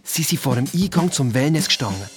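An excitable young boy detective acted by a male performer speaks with a Swiss German accent over clearly audible music. He tells his adventure in breezy, colloquial language with a boastful, bickering edge, as in a children's audio drama. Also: medium pitch, a little fast, natural voice. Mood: eager storytelling.

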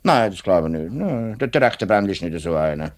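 A man's voice: deep voice, big pitch swings, fairly quick. An actor playing an upright, plain-spoken middle-aged union man with a Swiss German accent in a 1950s radio play. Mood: troubled. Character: upright, outspoken workman and union man, family father.

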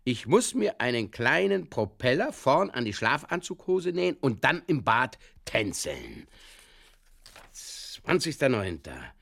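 Slow, deadpan despairing drunkard reading his diary. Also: medium pitch, creaky, exaggerated voice. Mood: bleak, weary. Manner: terse, deadpan, clipped fragments. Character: despairing heavy-drinking man reading his diary entries, drily absurd. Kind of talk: comic audio drama monologue.

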